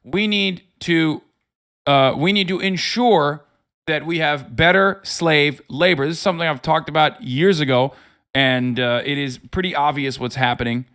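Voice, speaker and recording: A man's voice: fairly high pitch, normal speed, a bit bright, smooth. A man giving unscripted live political commentary as a streamer, swearing freely and speaking in an emphatic, mocking way.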